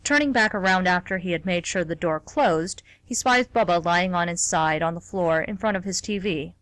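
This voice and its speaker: A woman with a fairly low voice narrates a scripted radio theatre story. The recording is dry, with little room sound. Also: normal speed, a bit bright, no echo. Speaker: narrator and co-host.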